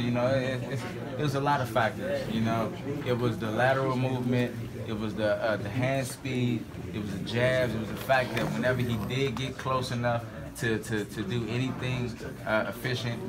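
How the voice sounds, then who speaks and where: A man with a mid-range voice answers reporters in a crowded indoor press area. He explains the fight strategy in a measured, deliberate way. Loud crowd chatter surrounds him. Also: mid-range voice. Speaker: boxing team member. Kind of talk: media scrum interview.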